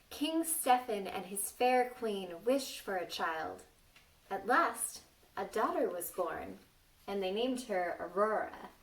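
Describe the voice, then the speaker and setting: A woman reading a story aloud to young children, playfully stopping to ask them questions and exclaim. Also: fairly high pitch. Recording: distant microphone.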